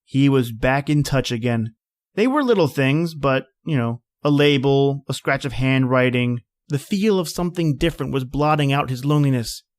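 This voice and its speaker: A man's voice: mid-range voice, moderate pace, lightly acted. An actor playing a plain-spoken man at a snowbound outpost, telling his story in a folksy, conversational old-time radio style. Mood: reflective.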